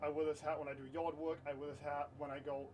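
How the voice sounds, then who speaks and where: A man's voice: on the high side, level tone, fast, a little mellow, far from the mic. A man telling a personal story casually to camera in an informal, rambling way, repeating himself and swearing now and then. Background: light music.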